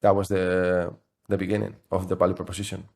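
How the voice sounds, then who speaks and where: Male, middle-aged, lowish pitch, non-native English, conversational interviewee, halting phrasing. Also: completely flat delivery, vocal fry, darkish tone. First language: not English. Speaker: interview guest, software company CEO.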